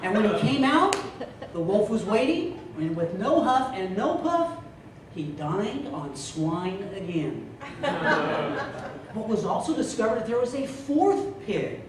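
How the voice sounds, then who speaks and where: A man giving a humorous storytelling speech to a live club audience, theatrical, with drawn-out punchlines. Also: high voice, very animated. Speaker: contest speaker telling a humorous tale. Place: meeting room before an audience. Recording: echoey room from far off, loud noise.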